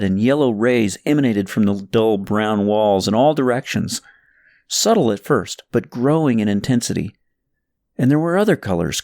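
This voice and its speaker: Animated male audiobook storyteller with dramatic delivery. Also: mid-range voice, no room sound, studio quality. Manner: expressive, suspenseful storytelling. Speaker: audiobook narrator reading own novel. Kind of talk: dramatic scripted story narration.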